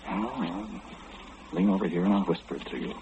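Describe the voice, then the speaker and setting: An actor playing an eerie, all-knowing mind reader in old radio horror, speaking slyly and teasingly, straight to the listener. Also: male voice, low-pitched, fairly quick, lightly acted. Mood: sly, ominous. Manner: sly, insinuating, theatrical, speaking confidingly to the listener. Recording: muffled, noisy old radio broadcast.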